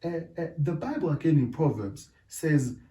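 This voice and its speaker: A man's voice: a little high, very animated, warm tone. South African man, echoey distant sound. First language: Zulu. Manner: deliberate, often checks in with "right?". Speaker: podcast co-host. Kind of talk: casual discussion. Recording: echoey room, distant mic.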